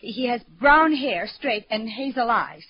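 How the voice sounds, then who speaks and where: A distraught young small-town wife, high and hesitant, pleading as she stammers. It is old radio drama acting with a dull, lo-fi sound. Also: animated, a little slow. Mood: anxious.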